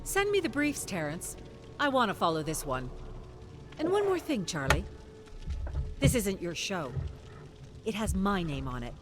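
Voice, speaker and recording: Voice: medium pitch, very animated, unhurried, long pauses, pitched above the performer's own voice. A narrator voicing a determined female talk show host who pushes back hard against her producer. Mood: defiant. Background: game music.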